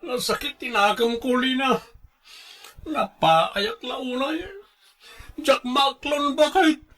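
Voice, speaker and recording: A man's voice: extremely high pitch, slow, bright, forceful tone, full character voice. A man acting a callous, irritable husband in a radio drama, with an Ilocano accent. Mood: irritated, dismissive. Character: callous, irritable runaway husband who brushes off his wife.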